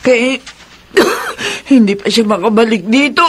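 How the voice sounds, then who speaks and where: A woman's voice: a little high, big pitch swings, takes it slowly, slightly rough, full character voice. An actress playing a sickly, sorrowful poor mother, weary and full of pity, in a radio drama. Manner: weary, pitying.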